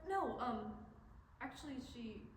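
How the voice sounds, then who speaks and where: A woman acting an anxious, earnest young student researcher in a staged play reading, speaking haltingly. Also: fairly high pitch, animated. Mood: hesitant, nervous. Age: young adult. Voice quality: hesitant, stammering delivery. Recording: echoey room, faint noise.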